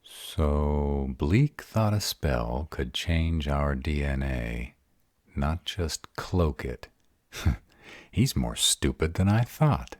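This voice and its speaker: Male actor as exasperated sarcastic vampire guy, extremely low voice, swinging intonation, audio drama. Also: lively intonation, extremely slow, dry recording, clean studio sound, full character voice. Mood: worried, probing. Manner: sarcastic, exasperated, casual drawl with swearing. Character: sarcastic, baffled male vampire, foul-mouthed and wary. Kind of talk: audio roleplay drama acting.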